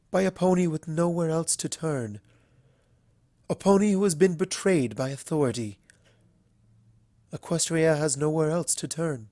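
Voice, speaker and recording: A narrator acts out a refined female unicorn from a storybook. She speaks in formal, measured phrases, solemn and earnest, choosing each word with deliberate care. The sound is close and dry. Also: mid-range voice, darkish tone, no echo, natural voice. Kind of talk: dramatic fan fiction reading.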